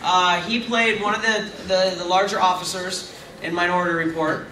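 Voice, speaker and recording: A man's voice: high-pitched, fairly even intonation, moderate pace, pushed and bright. Chatty man in an echoey hall. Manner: chatty, rambling, self-mocking with asides. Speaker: convention panel guest. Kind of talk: casual Q&A with fans. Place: large convention hall. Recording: echoey hall taped from far off, noisy.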